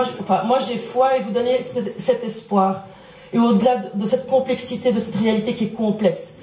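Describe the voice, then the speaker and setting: A woman's voice: fairly high pitch, darkish tone. A woman from Belgium, asking an earnest, searching question from the audience at a public lecture and circling back on her words. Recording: echoey conference hall.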